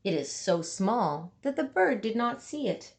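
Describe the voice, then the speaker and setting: A woman reading a children's picture book aloud, with dramatic emphasis and deliberate pauses for young listeners. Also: medium pitch, big pitch swings. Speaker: storybook reader.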